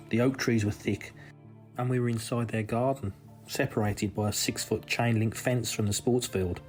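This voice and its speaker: Male story reader, lowish pitch, measured scripted first-person narration with careful pauses, music bed. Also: darkish tone. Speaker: reader of a listener's true story. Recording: music under the voice.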